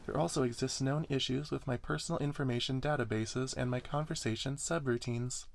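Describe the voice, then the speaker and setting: Man playing a courteous young robot girl, earnest, verbose, formal, raised voice, soft ASMR storybook reading. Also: medium pitch, steady tone, brisk, vocal fry, voice pushed up, natural voice. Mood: earnest, matter-of-fact. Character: polite, chatty, earnest young female service robot.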